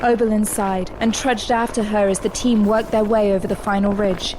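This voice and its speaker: A woman narrator reads scripted drama in a mid-pitched voice. Her storytelling is dramatic, and clear ambient noise runs behind the narration. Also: level tone, moderate pace.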